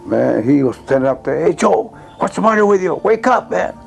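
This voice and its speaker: An older man from Texas recalls events informally as an eyewitness, halting and repeating himself as he tells it. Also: on the high side, gravelly, faint music behind. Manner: halting, repetitive, animated storytelling with "man" and "you know". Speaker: eyewitness interviewee. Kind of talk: informal spoken recollection.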